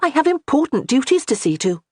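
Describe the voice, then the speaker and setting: A woman voicing a flustered young noble wife, rattled and bossy, in a husky voice. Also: big pitch swings, fairly quick, darkish tone, no room sound, studio quality. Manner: rattled, bossy, high-strung.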